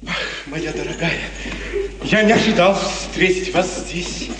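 An actor playing a solemn, devoted young count and bridegroom in an earnest, declamatory 1950s radio drama style. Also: male voice, sits high, measured pace, noisy background. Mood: solemn, blissful. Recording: echoey distant 1950s radio drama recording.

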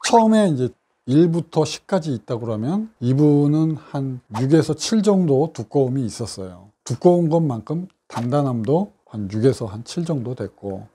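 A middle-aged man, a clinic doctor, calmly explaining a patient's treatment case in his office. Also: mid-range voice, husky. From South Korea. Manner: measured, explanatory, with frequent fillers.

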